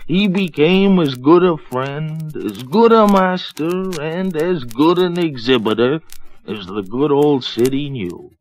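Man acting a slow, theatrical old-time radio storyteller. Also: fairly high pitch, lively intonation. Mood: grandly sentimental. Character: grumbling, theatrical male narrator of a Christmas parody. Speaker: radio actor. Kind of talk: 1930s-40s radio acting. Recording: old scratchy acetate disc recording.

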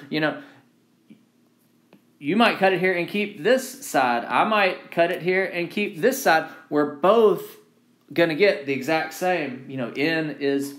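A man's voice: a little high, a bit bright. A man giving an informal engineering lecture, repeating key phrases for emphasis and often checking in with "right?". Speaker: engineering instructor. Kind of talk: informal lecture with handwritten notes.

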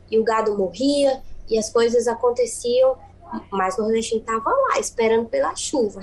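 A woman's voice: fairly high pitch, a little mellow, low-quality recording. A young woman with a Paraíba northeastern Brazilian accent, a singer talking earnestly in a radio podcast interview, often repeating words for emphasis. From Northeast Brazil (Bahia-born, raised in Paraíba). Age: young adult. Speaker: singer-songwriter guest.

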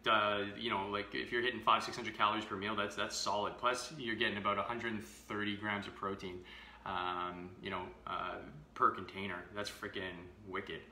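A man in his thirties walks through a recipe in a relaxed live-stream style, full of "uh" and "you know." His voice sits fairly low yet sounds bright and forceful. The home kitchen around him leaves a roomy echo on a camera mic set a few feet back. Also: moderate pace, slight background noise. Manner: chatty, filler-heavy, upbeat. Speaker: fitness vlogger. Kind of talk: casual live-stream demo. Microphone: camera mic from a few feet away.

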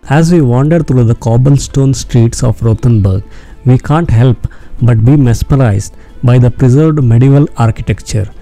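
A man's voice: medium pitch, normal speed, dark tone, faint music behind. A man delivering scripted narration as the narrator of a travel vlog.

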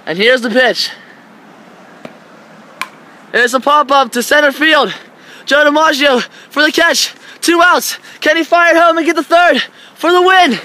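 A teenage boy playing an excited play-by-play announcer calling the action. His voice is very high and sharp, with faint outdoor noise behind it. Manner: rapid play-by-play, rising excitement.

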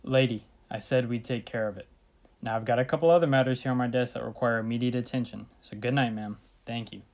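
A woman's voice: extremely low pitch, steady tone, fast, a little mellow. A woman playing a bored, brusque police desk sergeant in an old-style radio thriller. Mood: impatient. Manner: brusque, placating, weary.

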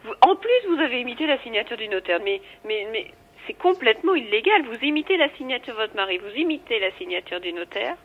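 Over a thin, narrow phone line, a woman with a fairly high voice answers as an office receptionist. She explains things firmly to a caller, with a protesting edge. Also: sing-song, fast talker, hardly any pauses. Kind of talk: telephone conversation with a caller. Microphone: telephone. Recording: tinny narrow telephone line.

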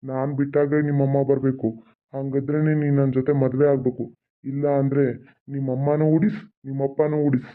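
A man's voice: medium pitch, flat delivery, brisk, lightly acted. A man voicing a scheming adult male village villain who mocks and threatens. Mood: threatening. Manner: sneering, mocking, menacing. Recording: tinny phone-like sound.

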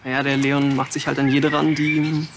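A man's voice: medium pitch, monotone, brisk, background noise, natural voice. A young man playing a hesitant, colloquial police witness in a TV crime drama. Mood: confiding. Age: young adult.

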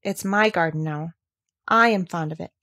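Lady playing bossy proud little rich boy in a storybook, sharp and commanding. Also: lowish voice, normal speed, lightly acted. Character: imperious, proud young boy master.

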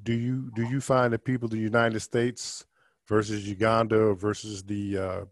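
A man's voice: fairly low pitch, level tone, moderate pace, dry recording, clean studio sound. A man co-hosting an interview, asking long, loosely built questions.

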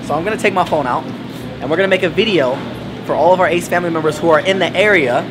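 A man's voice: a little high, fast talker. A young man in his twenties hosting a casual vlog in a restaurant, upbeat and hyped as he talks to his fans. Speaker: vlog host. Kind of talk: casual vlog talk to camera. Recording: loud music behind.

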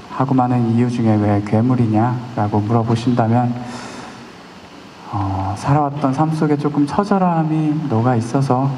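A man's voice: mid-range voice, a little hiss or hum. Shy young man on a concert hall stage. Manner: soft-spoken, shy and playful with the audience. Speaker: singer. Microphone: handheld stage mic. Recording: concert hall with long reverb, music behind.

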